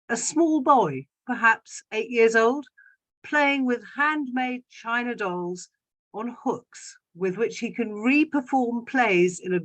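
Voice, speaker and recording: A woman's voice: a little high, a bit bright. A middle-aged or older woman, a guest speaker, reading a scripted narrative talk aloud.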